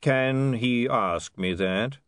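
A man voicing a pompous, easily baffled older lord who blusters in a halting, testy way, as in an audiobook. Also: mid-range voice, a bit bright, no echo, clean studio sound.

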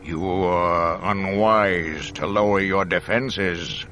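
Slow, deep, menacing dark lord. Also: male voice, fairly even intonation, heavily acted. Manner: slow, ominous, deliberate delivery. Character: menacing, commanding dark lord, older armored father. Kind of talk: dramatic audiobook character voice.

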